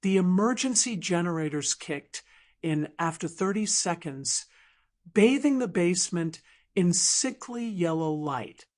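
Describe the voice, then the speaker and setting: Slow-paced woman narrating dramatic fiction. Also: lowish voice, expressive, darkish tone. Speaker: audiobook narrator.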